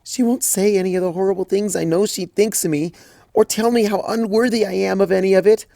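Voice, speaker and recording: A woman voicing a frantic, lovesick young unicorn mare who wails and sobs in a high voice. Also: steady tone, fairly quick, gravelly, mellow, no room sound, lightly acted. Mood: despairing. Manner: high, wailing and sobbing. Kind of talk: fanfic reading.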